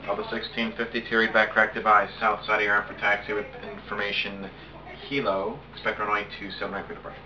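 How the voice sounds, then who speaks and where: Fast-talking young American man, noisy dull recording. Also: fairly low pitch, flat delivery. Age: young adult. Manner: casual, swears when thinking aloud. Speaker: hobbyist air traffic controller. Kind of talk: rapid radio phraseology with casual asides. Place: small home room, indoors. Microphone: camera on desk a step away. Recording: muffled, noisy webcam audio.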